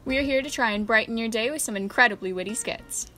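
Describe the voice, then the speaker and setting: An actress playing a dry, teasing radio host, delivering scripted comic introductions. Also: female voice, a little high, quick pace, sharp tone, soft background music.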